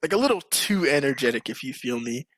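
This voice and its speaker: A man's voice: mid-range voice, lively intonation, quick pace, a bit bright, no room sound. A man hosting a podcast in casual, opinionated panel chat, with slangy phrasing. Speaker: podcast host.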